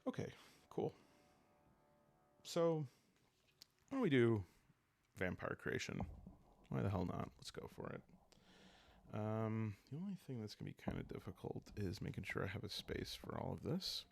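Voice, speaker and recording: A man with a calm, creaky voice hosting a relaxed solo roleplaying game, musing aloud in an unhurried, hesitant way. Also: fairly low pitch. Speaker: host of a solo roleplaying playthrough. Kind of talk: relaxed ASMR game narration, thinking aloud.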